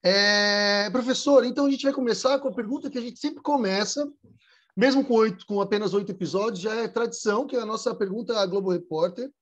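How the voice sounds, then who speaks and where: A man hosting a podcast in a joking, rambling, animated way. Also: high-pitched, a little fast. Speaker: podcast host.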